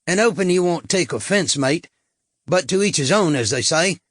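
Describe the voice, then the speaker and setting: Male reader doing a brash tout, higher voice, oily salesman patter, dry sound. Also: animated, moderate pace, a bit bright, dry recording. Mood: wheedling. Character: sleazy male London street tout, pushy.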